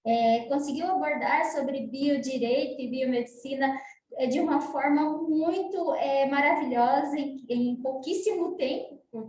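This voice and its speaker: A woman introducing a speaker at an online conference, effusive and hesitant with fillers. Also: high voice. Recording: echoey room, faint background noise.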